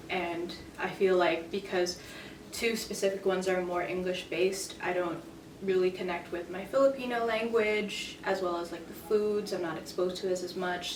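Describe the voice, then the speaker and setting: A young woman in a casual group discussion, talking hesitantly with many fillers. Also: fairly low pitch. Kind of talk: casual chat. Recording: roomy, from a distance, faint noise.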